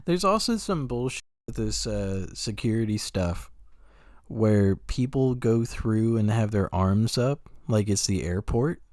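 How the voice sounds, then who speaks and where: A man's voice: lowish voice, lively intonation, darkish tone, no room sound, clean studio sound. A man co-hosting a podcast, chatting casually and hesitantly, often murmuring agreement. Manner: slow, hesitant talk with frequent backchannel murmurs. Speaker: podcast co-host. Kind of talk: casual chat.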